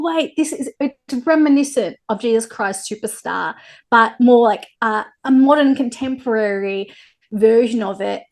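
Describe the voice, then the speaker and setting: An Australian woman interviewer with a fairly high voice. She sounds eager and effusive, warmly piling on praise as she leads the conversation. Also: vocal fry. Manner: enthusiastic, effusive. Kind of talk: interview hosting.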